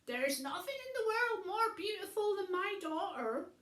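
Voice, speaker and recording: A cowardly old man from a children's storybook played by a woman, pleading in a very high, swooping voice. He stammers and flusters, eager to please, with room echo around him. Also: lively intonation, unhurried, a bit bright, full character voice. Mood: frantic, eager.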